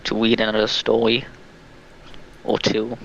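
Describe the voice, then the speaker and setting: A man with a bright, forceful tone chats as a guest on a livestream call. He rambles and hesitates as he explains. The sound is somewhat dull and lo-fi, with faint noise. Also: lowish voice, completely flat delivery, vocal fry. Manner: halting, rambling. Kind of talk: casual chat, recounting a plot.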